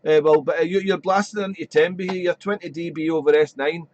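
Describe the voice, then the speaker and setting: Chatty radio ham, slightly croaky. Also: male voice, on the high side, fairly even intonation, brisk, darkish tone, highs cut off. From Wales. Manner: chatty, rambling, fills with "there". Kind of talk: casual radio chat. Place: home radio shack.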